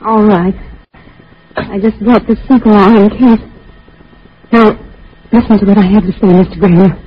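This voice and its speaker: A woman's voice: medium pitch, steady tone, moderate pace, lightly acted. An actress playing an anxious, insistent female lodger in a vintage radio drama, urgent and hesitant. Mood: tense, curious. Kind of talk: 1940s radio acting. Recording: muffled, noisy old radio recording.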